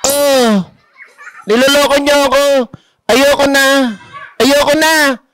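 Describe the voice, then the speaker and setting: An excitable little Filipino boy puppet for a children's party show. The voice is high and bright, whiny and full of exclamations. He is a cheeky prankster who keeps repeating his questions. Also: takes it slowly, heavily acted. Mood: puzzled, whiny. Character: mischievous, excitable young grandson puppet who loves pranks.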